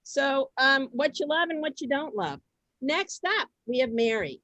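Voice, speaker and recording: Higher-voiced woman hosting, dry sound. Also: lively intonation, measured pace, sharp tone, dry recording, muffled recording. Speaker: host of an online group event. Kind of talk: informal moderating.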